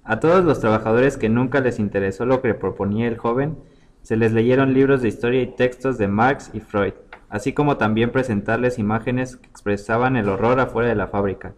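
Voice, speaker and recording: A man's voice: medium pitch, fairly quick. A man reading a scripted short story aloud as its storyteller.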